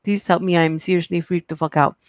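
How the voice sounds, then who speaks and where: A woman's voice: lowish voice, steady tone, a little fast, no room sound, lightly acted. Woman putting on a worried Russian-accented narrator for an internet horror story, close and dry. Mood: anxious. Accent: attempted Russian. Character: scared friend narrating in a put-on Russian accent.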